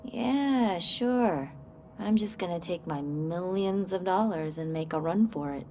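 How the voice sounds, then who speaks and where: A woman's voice: lowish voice, lively intonation, unhurried, dark tone, a little hiss or hum. A woman playing a sarcastic, jaded small-town local in a video game. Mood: wry, bitter.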